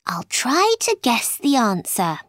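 A woman's voice: fairly high pitch, huge pitch swings, takes it slowly, fairly bright tone, no echo, clean studio sound, voice pushed up, full character voice. A woman voicing a polite but outspoken little girl in a children's storybook, questioning and indignant. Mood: curious.

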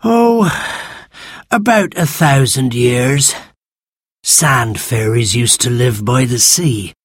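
A narrator voicing a grumpy, ancient male sand fairy, a hoarse storybook creature who talks slowly and irritably. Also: mid-range voice, no echo, studio quality. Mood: matter-of-fact, gruff. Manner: slow, drawn-out, irritable delivery.

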